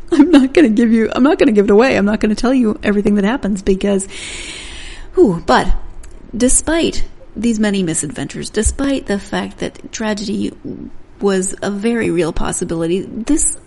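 A woman's voice: medium pitch, normal speed, mellow. A woman hosting a book podcast, chatting through an intro and catching her own slips with a laugh. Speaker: podcast host. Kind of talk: solo intro to a book interview.

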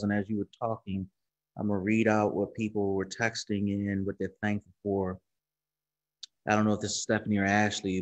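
A man in his forties, a pastor leading a church service over a video call, encouraging and affirming as he reads out messages. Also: lowish voice. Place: small studio room with brick wall and screen. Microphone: webcam video call.

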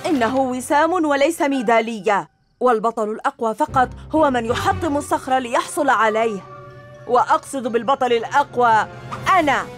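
امرأة تؤدي شريرة نينجا صغيرة متبجحة. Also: female voice, a little high, a little fast, soft background music, lightly acted. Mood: bossy. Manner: bossy and taunting. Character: boastful bratty girl ninja villain.

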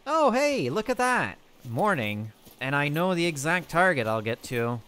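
A man voicing his character in a survival game roleplay, excitably thinking aloud to himself. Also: fairly high pitch, unhurried, clean tone.

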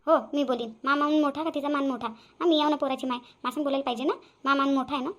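A woman's voice: high voice, fairly even intonation, fast, natural voice. A woman voicing a proud, grumbling grandmother in a cartoon family drama, speaking with a Vidarbha Marathi accent. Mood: offended. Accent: Vidarbha Marathi (Varhadi). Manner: nagging, complaining, muttering asides. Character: proud, grumbling, boastful grandmother and mother-in-law.

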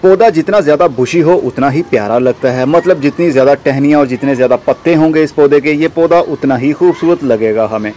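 A man's voice: fairly high pitch, brisk, hardly any pauses, dark tone, a little hiss or hum. A man hosting an informal how-to gardening video, explaining step by step to the viewer. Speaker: gardening channel host. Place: outdoors in a rooftop garden.

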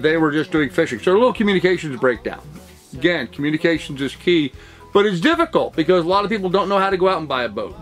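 A middle-aged man talks straight to his camera from a cluttered home office, his voice sitting a little high for a man. He rambles, full of "um"s and restarts, in an easy conversational way. Soft music plays faintly underneath. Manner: rambling, with many fillers and restarts. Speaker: vlogger, real estate broker. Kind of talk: casual talking-head vlog.

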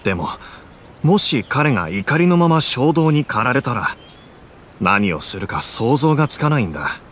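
A man's voice: mid-range voice, big pitch swings, normal speed, a bit bright, a little hiss or hum. An actor playing a friendly, observant young townsman in a video game, speaking in an easygoing, thoughtful way.

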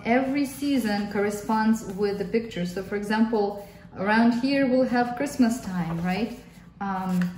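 A woman's voice: mid-range voice, darkish tone. A woman in her thirties, a Russian native speaker with a Russian accent, explaining homeschool workbooks in a friendly way as a mother and often repeating words for emphasis. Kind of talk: casual workbook flip-through review. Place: indoor room at a table. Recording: roomy, distant mic, faint hiss.

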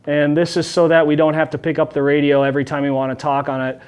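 A middle-aged man speaks as a police officer presenting to the public. His voice sits a little higher than average. He explains things informally, straight to camera, in a meeting room. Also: monotone, quick pace, smooth.

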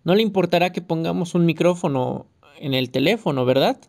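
A reader voicing a fearful, hesitant police officer on the phone in an audiobook. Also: male voice, a little high, fairly quick, a little mellow, natural voice. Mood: nervous. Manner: hesitant, muttering.

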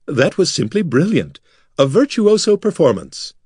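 A man's voice: fairly high pitch, very animated, normal speed, breathy edge, no echo, clean studio sound, voice pushed up. Man doing a flamboyant, fussy young nobleman. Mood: delighted. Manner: flamboyant, theatrical, easily flustered.

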